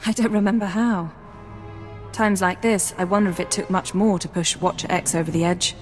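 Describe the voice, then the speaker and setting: A woman's voice: fairly low pitch, steady tone, normal speed, soft background music, close to an everyday voice. A woman voicing a composed, dry intelligence officer. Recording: long hall reverb.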